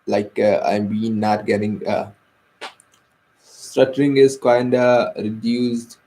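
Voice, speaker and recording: A man's voice: on the low side, flat delivery, slow pace, dark tone, slight background noise, rough recording. A young man with an Indian accent, a native Hindi speaker, talking hesitantly in a personal vlog with many fillers and restarts. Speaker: vlogger.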